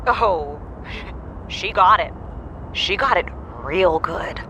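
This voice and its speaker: An exasperated grown daughter in an audio drama. Her delivery is blunt and dry, in a bright, forceful voice over faint noise. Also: on the low side, slow pace, heavily acted, rough recording. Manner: deadpan, blunt. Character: dry, exasperated adult daughter on a phone call.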